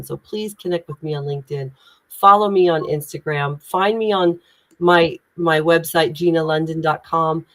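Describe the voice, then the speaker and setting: A woman from Indiana with an American Midwestern accent, speaking as a communication coach in an emphatic, inspirational way on a video call. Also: fairly low pitch. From United States (Indiana). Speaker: guest, executive communication coach. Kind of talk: LinkedIn live conversation.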